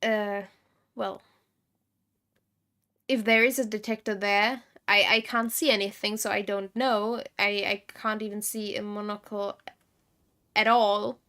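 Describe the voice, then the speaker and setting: A woman giving casual gameplay commentary as a streamer, chatty and thinking aloud in halting bursts. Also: medium pitch, little pitch movement, bright, forceful tone. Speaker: game streamer.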